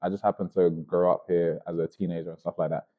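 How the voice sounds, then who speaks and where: A man's voice: deep voice, flat delivery, fast, phone-quality sound. A man from Belgium with a London accent, speaking matter-of-factly in a casual group chat. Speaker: panel guest. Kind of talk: casual discussion.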